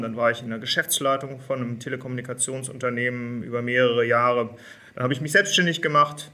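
A man's voice: medium pitch, sharp tone. A man of about fifty speaking conversationally as an interview guest, an executive answering questions.

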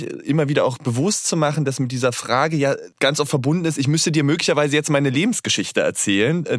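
A man's voice: medium pitch, dry recording, clean studio sound. A man interviewing a guest, affirming often and asking long, rambling questions with many fillers. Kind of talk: conversational interview.

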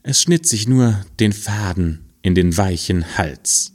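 Slow, expressive low male storyteller. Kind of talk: fairy tale reading.

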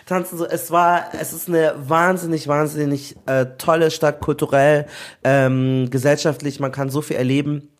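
A man from Nigeria telling stories in a relaxed conversation, breaking into laughter often. His voice sits a little higher than most men's, and his talk is animated and expressive. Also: normal speed. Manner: lively storytelling, laughs often. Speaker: guest. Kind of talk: casual chat.

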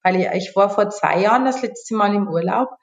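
A woman's voice: medium pitch, fairly quick. A woman, talking as a guest in a casual interview in a chatty, informal way full of side remarks. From Bavaria, Germany. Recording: echoey room.

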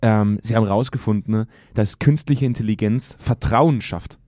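A hoarse man co-hosting a podcast from an office, chatting in a quick, joking and teasing way. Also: on the low side, dark tone, no room sound, clean studio sound. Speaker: podcast co-host. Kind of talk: casual joking chat.

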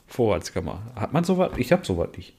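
A man's voice: lowish voice, sing-song, fast, darkish tone, husky. A man from the area, co-hosting a casual podcast chat, laughing often and drifting off topic. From Ruhr area, Germany. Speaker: podcast co-host.

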